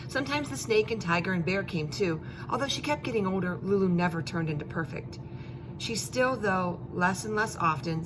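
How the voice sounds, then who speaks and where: A woman teacher reading a children's story aloud, expressively, with drawn-out emphasis and questions and asides to her young pupils. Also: fairly low pitch, background noise. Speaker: elementary school teacher.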